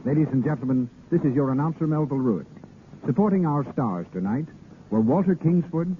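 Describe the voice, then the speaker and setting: A man's voice: on the high side, lively intonation, fairly quick. A man formally reading scripted announcements on a 1930s radio show, heard on an old broadcast recording. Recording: noisy, narrow-band 1930s radio recording.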